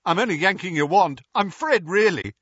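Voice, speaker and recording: A man's voice: high-pitched, animated, moderate pace, fairly bright tone, husky, dry recording, pitched above the performer's own voice, exaggerated voice. A man voicing a cheeky teenage wizard twin who teases playfully, on a slightly dull recording. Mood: teasing. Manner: teasing, playful.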